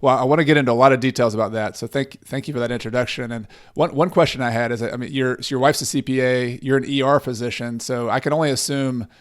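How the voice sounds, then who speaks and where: A man's voice: mid-range voice, fairly even intonation, fast, hardly any pauses, clean tone. A man hosting a podcast interview, speaking in an earnest, encouraging way. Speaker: podcast host and real estate coach.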